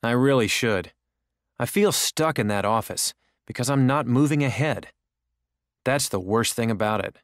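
A man's voice: medium pitch, moderate pace, dry recording, studio quality, close to an everyday voice. An actor playing an ordinary man making small talk in a language-course dialogue. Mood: discontented.

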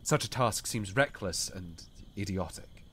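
Weary, commanding king for an audiobook, played by a male narrator: low voice, grave formal delivery. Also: lively intonation, measured pace, a bit bright, slight background noise. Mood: questioning. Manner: formal, grave, archaic phrasing. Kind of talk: audiobook character dialogue.

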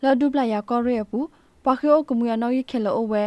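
A woman's voice: a little high, a little fast, darkish tone, no room sound. A woman presenting radio news, reading from a script.

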